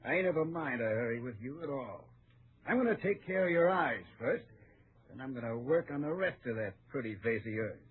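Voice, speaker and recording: A very husky, brutal cowboy bully in an old radio western. He gloats in a slow, taunting drawl. The recording is thin and tinny, like a phone line. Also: medium pitch, animated. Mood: gloating menace.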